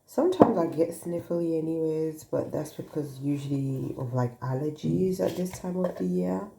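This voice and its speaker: A woman's voice: sits low, moderate pace, creaky, noisy background. A woman with a cold, sounding sniffly, thinking aloud as she gives a casual tarot card reading to viewers, recorded on a phone. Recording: echoey room.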